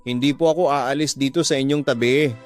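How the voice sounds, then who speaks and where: A man's voice: medium pitch, steady tone, a little fast, faint music behind, natural voice. A man voicing a devoted young adult daughter in a radio drama, tearfully comforting and earnestly pleading.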